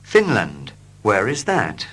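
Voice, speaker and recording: A man reading short English dialogues slowly and clearly for learners, line by line. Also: lowish voice. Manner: slow, clear and expressive. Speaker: English teacher. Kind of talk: slow scripted reading for learners.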